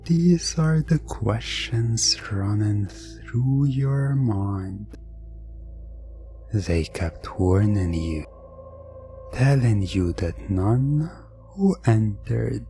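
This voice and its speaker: A man with a deep, mellow voice narrates a hypnosis story over music. His delivery is extremely slow and drawn out, in a breathy ASMR manner that often sinks toward a whisper. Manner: slow, drawn-out second-person storytelling with frequent pauses. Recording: music under the voice. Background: background music.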